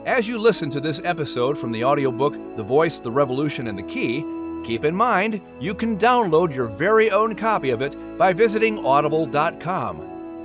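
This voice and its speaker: Animated American man hosting a comedy podcast. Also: on the high side. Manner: chatty, rambling, playful. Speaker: podcast narrator and host. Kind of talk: scripted comic podcast narration. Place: studio.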